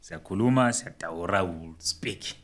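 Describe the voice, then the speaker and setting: A man hosting a podcast in a relaxed, chatty way, mixing Ndebele and English. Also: low voice, moderate pace. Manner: relaxed, chatty, laughs easily. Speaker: podcast host.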